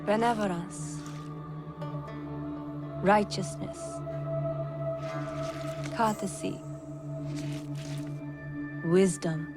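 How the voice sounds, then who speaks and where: An actress playing a composed noblewoman of feudal Japan who teaches in a formal, measured way in a video game cutscene. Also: mid-range voice, music in the background. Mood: solemn, instructive.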